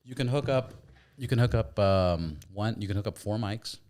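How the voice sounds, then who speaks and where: A man with a low-pitched voice hosts a casual podcast conversation from his home. He talks in a loose, easygoing way and swears offhandedly. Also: normal speed, darkish tone. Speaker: podcast host. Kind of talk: casual chat.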